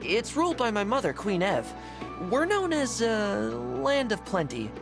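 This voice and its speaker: A bouncy, lively young prince. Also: male voice, high-pitched, measured pace, music in the background, exaggerated voice. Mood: cheerful, friendly. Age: young adult. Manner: chatty, polite, upbeat. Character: friendly, buoyant, kindhearted young prince. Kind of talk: scripted video game voice acting.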